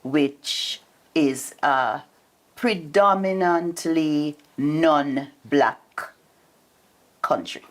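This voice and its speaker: A woman interviewer speaks in a measured way, often affirming her guest with short replies. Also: low-pitched. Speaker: interviewer, professor. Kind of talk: interview host.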